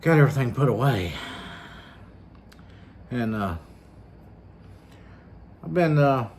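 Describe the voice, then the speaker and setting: A man's voice: mid-range voice, lively intonation, slow pace, darkish tone. A man with a slightly scratchy throat, rambling folksily in a casual vlog with lots of fillers. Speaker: vlogger. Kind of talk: casual rambling monologue to camera.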